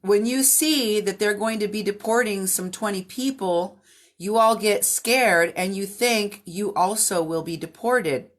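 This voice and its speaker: A woman hosting and interpreting into English, talking in a halting way with frequent restarts. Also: mid-range voice.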